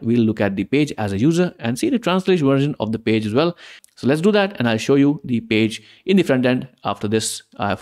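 A young man whose first language is Hindi walks viewers through a screen-recorded software tutorial. He talks casually and explains as he goes, often pausing with fillers and restarting sentences, in a voice of ordinary pitch.

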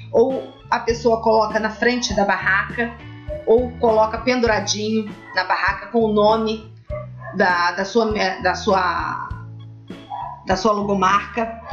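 A woman's voice: mid-range voice, dull audio. A middle-aged woman, explaining things casually as a craft host, chatty and emphatic, repeating words for stress. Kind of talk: casual explanatory vlog talk.

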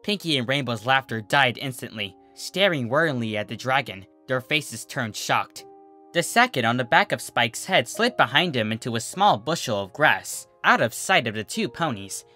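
A narrator reading a scripted comic story in an expressive way. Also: medium pitch, normal speed, soft background music. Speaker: narrator, fan fiction reader.

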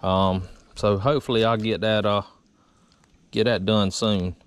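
A middle-aged man, chatting casually in a relaxed drawl while fishing from a small boat on a river. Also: on the low side, measured pace, clear, resonant tone, dry recording. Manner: relaxed drawl with frequent uh fillers. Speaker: fishing vlogger. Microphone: action camera on the boat.

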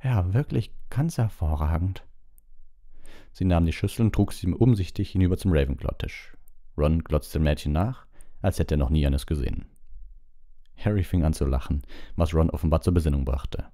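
Male audiobook narrator, deep and very mellow, scripted story reading. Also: a little fast.

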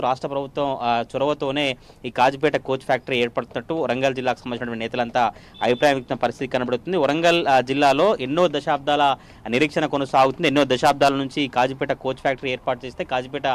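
Male field reporter, fairly high voice, rapid formal news delivery with filler sounds. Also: clear, resonant tone. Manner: fast continuous delivery with frequent "aa" fillers.

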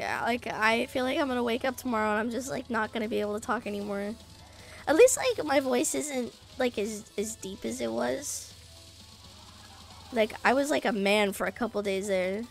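A young woman streaming a game while sick, her voice raspy, swearing, joking with chat and singing la-la to herself. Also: on the high side. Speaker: VTuber streamer. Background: faint game music.

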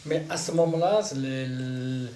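A middle-aged man asking casual, unscripted questions as an interviewer, with halting, fragmented phrasing. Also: mid-range voice, warm tone, slight background noise. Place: classroom, seated indoors by a wall. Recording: roomy, echoing classroom sound.

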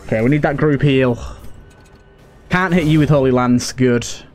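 A man's voice: mid-range voice, normal speed, darkish tone, soft background music. A man giving casual let's play commentary as a gaming host, talking to himself and to the characters on screen. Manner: talks to himself and to game characters.

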